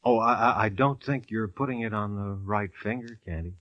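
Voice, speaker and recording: A man's voice: lowish voice, normal speed, dry recording, dull audio, natural voice. An American man acting a smitten, teasing police captain in a 1940s radio drama. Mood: teasing, coy. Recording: vintage radio broadcast recording.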